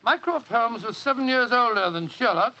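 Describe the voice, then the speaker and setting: A man's voice: extremely high pitch, animated, normal speed, pushed and bright, heavily acted. An actor playing a jovial elderly English doctor in a 1940s radio drama, avuncular and blustering, repeating himself as he tells his stories. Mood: avuncular storytelling. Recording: dull 1940s radio broadcast.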